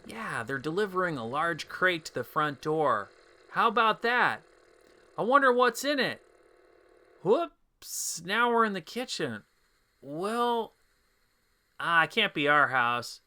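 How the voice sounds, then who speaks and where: A man's voice: on the high side, expressive, slow pace, long pauses, pushed and bright, heavily acted. A man playing a mild, naive, chatty husband in a comedic audio drama. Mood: puzzled, curious. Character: mild, oblivious husband, easily pleased, henpecked.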